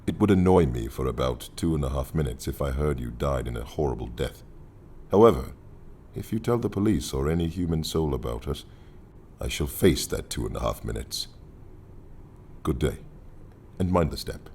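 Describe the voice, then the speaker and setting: An actor playing an imposing, mocking male leader of an anarchist council in a radio drama, husky, commanding and theatrically courteous. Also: extremely low pitch, animated, moderate pace, darkish tone, a little hiss or hum. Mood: calm menace.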